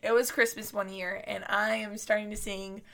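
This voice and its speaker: A young woman in casual chat, telling animated stories and laughing often. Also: medium pitch, moderate pace, vocal fry. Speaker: podcast host.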